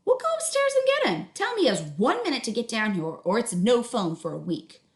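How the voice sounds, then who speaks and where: A woman voicing a brisk, exasperated mother who nags her children in a storybook. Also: fairly high pitch, big pitch swings. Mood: brisk, bossy. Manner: bossy, nagging, resigned. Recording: echoey room sound.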